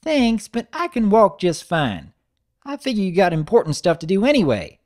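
Man doing a high, chirpy little girl pony. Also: wildly swooping pitch, moderate pace, a little mellow. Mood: eager, helpful. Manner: chirpy, folksy. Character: cheerful little girl pony, eager schoolgirl.

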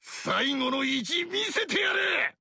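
An actor playing a boisterous, burly barbarian king who shouts rough battle cries and boasts loudly in a video game. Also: extremely high pitch, lively intonation, takes it slowly, dry recording, exaggerated voice. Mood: brash boasting.

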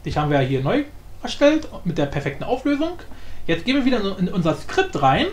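A man hosting a casual software tutorial in a colloquial, mildly crude, joking way. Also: sits high, very animated, fairly quick, a little hiss or hum. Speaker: tutorial host.

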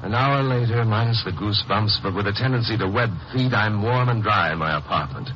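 An actor playing a steady, wry lawyer-sleuth hero in a 1950s radio crime drama. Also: male voice, lowish voice, a little fast, music in the background, close to an everyday voice. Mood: dry narration. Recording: muffled, noisy old radio recording.

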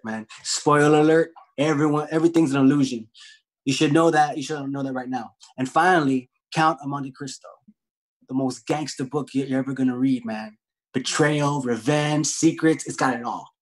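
A young actor playing an easygoing, streetwise taco shop worker who loves books, reading casually and haltingly in a comedy table read. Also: male voice, fairly high pitch, close to an everyday voice. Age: young adult. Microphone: laptop video call.

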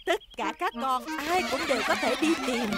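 A woman's voice: sits high, lively intonation, normal speed, very noisy. A woman voicing a kindly, encouraging gazelle teacher in a cartoon. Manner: encouraging, instructing children. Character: kindly female gazelle teacher leading young campers.

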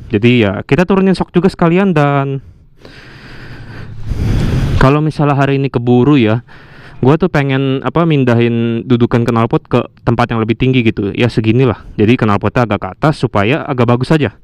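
A man's voice: mid-range voice, smooth, no room sound. A young man with a Jakarta Indonesian accent, chatting casually and in slang as a motovlogger. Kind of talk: casual vlog commentary. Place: outdoors, around and riding a motorcycle. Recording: noisy action-camera audio, motorcycle engine and wind. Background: motorcycle and road noise.

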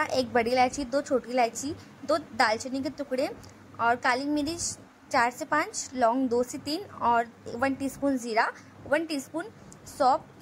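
A woman with a high voice narrates a home cooking tutorial. She talks through each step in an even, explanatory manner and repeats phrases often. A faint hum of noise sits behind her voice. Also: rough recording. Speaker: cooking channel host.

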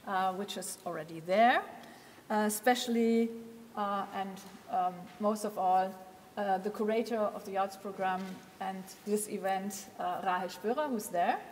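A woman's voice: mid-range voice, measured pace, in a large hall, a little hiss or hum. A woman organizing a conference gives welcome remarks and thanks, hesitant with many ums and uhs. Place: large hall at a lectern.

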